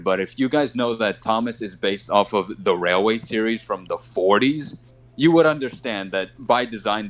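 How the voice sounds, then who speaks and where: A man's voice: fairly low pitch, fairly bright tone. A man with a mixed Colombian Spanish and Brooklyn accent, a native Spanish speaker, chatting casually while gaming and rambling with lots of "you know" fillers. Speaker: tournament host.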